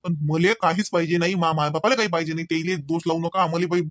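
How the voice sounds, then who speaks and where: A man's voice: on the high side, fairly even intonation, fast talker, a bit bright, no echo, studio quality, close to an everyday voice. A man playing a proud, angry village husband in a comedy cartoon, scolding in a Varhadi Marathi accent. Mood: firm, proud. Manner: scolding, firing off rhetorical questions. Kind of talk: amateur comedy cartoon dialogue.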